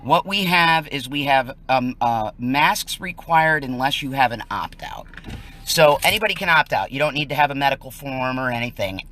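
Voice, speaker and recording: A woman's voice: very deep voice, expressive, moderate pace, a bit bright. Indignant American woman reporting live. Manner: indignant, emphatic. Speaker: citizen reporter livestreaming. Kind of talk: live on-scene commentary to camera. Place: outdoors on a residential street.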